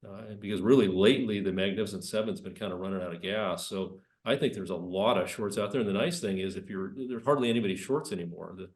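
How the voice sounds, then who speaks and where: A man with a lowish voice, a little rough from a cold, talks through market commentary. He rambles and restarts as he goes, and his voice carries a roomy echo. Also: fast talker, hardly any pauses, darkish tone. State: has a cold. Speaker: investment presenter.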